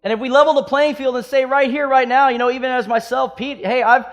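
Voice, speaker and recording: A pastor in his thirties from Hawaii, preaching a sermon with impassioned swings between loud emphatic peaks and soft hushed stretches. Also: very high voice, fairly even intonation, fast, dull audio. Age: 30s.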